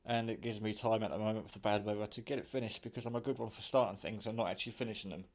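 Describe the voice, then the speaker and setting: A British man with a fairly low voice presents a homemade project from his kitchen. He speaks in an informal, rambling, unscripted way, with the odd mild swear word, like a hobbyist talking to his viewers. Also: steady tone, very fast, a little mellow. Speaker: hobbyist vlogger presenting own radio build. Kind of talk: casual unscripted demonstration talk. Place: home kitchen.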